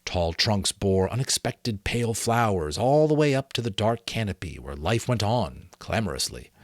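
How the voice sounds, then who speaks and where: A middle-aged man giving a dramatic, expressive audiobook-style reading of a novel in a measured storytelling manner. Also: fairly low pitch, dry recording, clean studio sound. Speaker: amateur audiobook reader.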